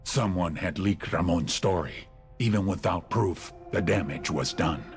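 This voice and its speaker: An actor playing a brooding, menacing, proud cartel boss, speaking gravely and with command in a dramatic scripted style. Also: male voice, low voice, measured pace, faint music behind. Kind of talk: dramatic scripted narration and dialogue.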